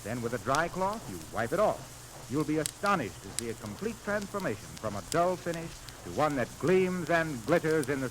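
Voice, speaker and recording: A man announcing on 1930s radio, reading scripted copy in a polished, persuasive salesman's delivery. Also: fairly high pitch. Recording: noisy vintage 1930s radio recording.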